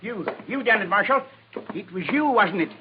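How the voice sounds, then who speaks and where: An actor playing a proud, bitter old American plainsman with a raspy voice and folksy phrasing, in a 1950s radio Western. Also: high-pitched, expressive, normal speed, background noise. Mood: bitter, accusing. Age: older. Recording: dull lo-fi 1950s radio recording.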